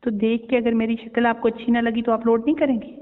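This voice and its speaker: A man's voice: extremely high pitch, flat delivery, quick pace. A man playing a coy, pushy, easily offended young woman with a Pakistani accent in an improvised phone prank. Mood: coy, softer. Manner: hesitant, needling, repeatedly questioning. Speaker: prank caller. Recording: echoey hall sound, dull phone-call audio.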